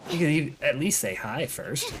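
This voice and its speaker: A man's voice: on the high side, sing-song, normal speed. A man chatting casually and in a rambling way as he streams his gameplay. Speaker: game streamer. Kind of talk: casual livestream gaming commentary. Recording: game music behind the voice. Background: video game music.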